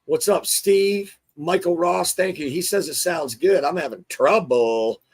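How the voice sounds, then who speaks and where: Hoarse, chatty man hosting a sports show. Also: fairly high pitch, measured pace. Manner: chatty, excitable, restarts often. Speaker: show host. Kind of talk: casual sports talk show.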